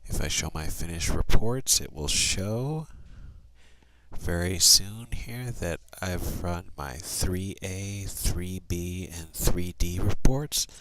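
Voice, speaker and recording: Man, low voice, software trainer, plain procedural delivery, step-by-step screen tutorial. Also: slow, a little hiss or hum.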